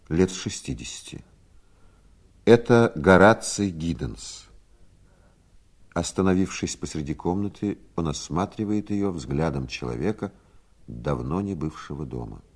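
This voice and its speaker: A man narrating a scripted radio drama in a measured, literary manner. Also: sits low, darkish tone, heavily acted.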